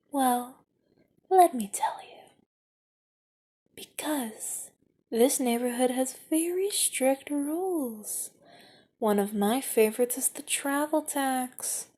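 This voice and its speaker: Lady doing a creepy knife-waving street thug, high voice, slow and mellow, sweet-then-nasty whispers. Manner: taunting, mock-sweet, menacing whispers. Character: menacing knife-wielding female street extortionist. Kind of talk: ASMR audio roleplay.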